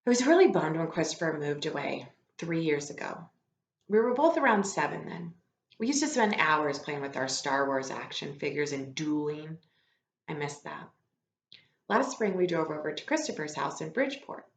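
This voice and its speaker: A woman reading a story aloud like a teacher to students, expressive and informal, with casual asides. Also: deep voice, moderate pace, a little hiss or hum. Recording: echoey room, slightly dull lo-fi sound.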